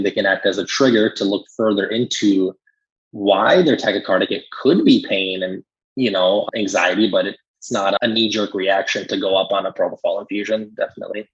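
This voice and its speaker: A man's voice: lowish voice, slightly rough, lo-fi sound. A man co-hosting a medical podcast, talking casually and agreeably as he explains points with everyday examples. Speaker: pharmacist and podcast co-host. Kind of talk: casual professional discussion.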